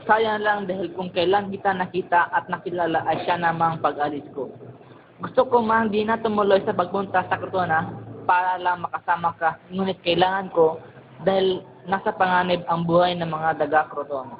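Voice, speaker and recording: A man's voice: sits high, steady tone, moderate pace, muffled recording, noisy background, lightly acted. A man playing an ardent, courtly young warrior in an amateur radio drama. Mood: sorrowful devotion. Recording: music under the voice.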